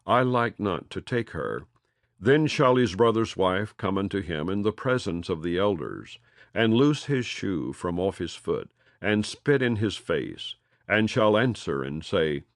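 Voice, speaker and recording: A man reading scripture aloud in a measured, solemn cadence, letting phrases fall at their ends. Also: low-pitched, no room sound, clean studio sound. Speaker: audio Bible reader.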